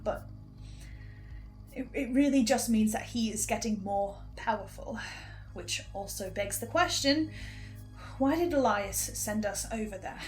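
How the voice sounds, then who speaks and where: A woman with a fairly high voice hosts a dramatic, investigative recap. She is pensive and leaves deliberate pauses, with music playing underneath. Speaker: host of a fan recap series.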